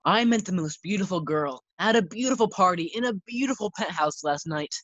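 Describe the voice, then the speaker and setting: A woman's voice: fairly low pitch, lively intonation, a little fast, dry recording. A woman acting a breezy, girl-chasing bachelor man in a 1940s-style radio sitcom. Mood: lovelorn. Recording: slightly dull, lo-fi sound.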